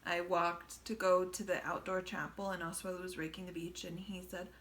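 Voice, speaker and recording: A woman's voice: on the low side, flat delivery, moderate pace, a bit bright, a little hiss or hum. A woman in her thirties from the United States, chatting and teasing as she tells how she met her husband. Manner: chatty, teasing, giggles often. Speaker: wife telling how they met. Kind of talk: casual storytelling chat.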